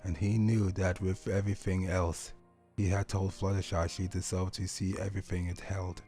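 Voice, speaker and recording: Mellow, even-toned male story narrator. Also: sits low, soft background music. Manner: solemn, measured, deliberate. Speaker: audio story reader. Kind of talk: scripted narration of a sad fan fiction.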